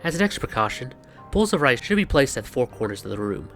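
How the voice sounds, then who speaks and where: A man with a mid-pitched voice presents a scripted explainer. He is wry and sarcastic, dropping exasperated asides like a host in a back-and-forth. Music plays lightly behind him. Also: big pitch swings, quick pace, vocal fry, a bit bright. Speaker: video host. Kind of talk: scripted explainer narration with banter. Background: background music.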